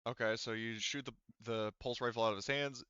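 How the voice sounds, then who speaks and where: A man running a casual online tabletop roleplaying game as game master, thinking aloud haltingly as he works through the rules. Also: mid-range voice, steady tone, pushed and bright, smooth, no echo, highs cut off.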